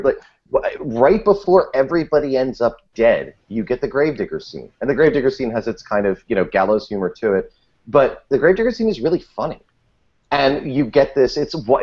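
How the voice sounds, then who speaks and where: A man's voice: mid-range voice, animated, a little fast, lo-fi sound. A man speaking as a podcast guest in a casual panel discussion, with a jokey, playfully theatrical manner.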